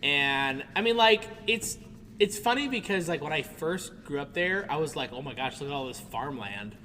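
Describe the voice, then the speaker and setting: Illinois man, podcast co-host; very bright, bouncy delivery, echoey room, off-mic. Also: on the high side, normal speed. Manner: animated, laughs often while telling stories. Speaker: podcast co-host, music teacher. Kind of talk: casual chat. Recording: echoey room from a distance with background noise.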